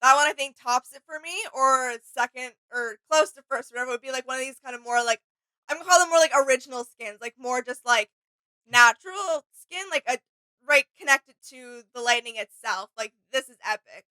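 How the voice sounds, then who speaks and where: A woman's voice: high-pitched, a little fast, pushed and bright, rough recording. A woman co-hosting a reaction video with casual chat commentary, agreeable, informal and emphatic.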